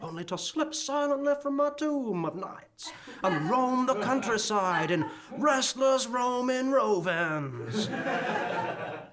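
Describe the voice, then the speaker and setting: A man's voice: very high-pitched, lively intonation, takes it slowly, crowd noise, full character voice. A man playing the rambling, cheerful ghost of a former professor, chuckling as he talks, in a live stage radio play. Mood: jaunty. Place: theatre stage before a live audience.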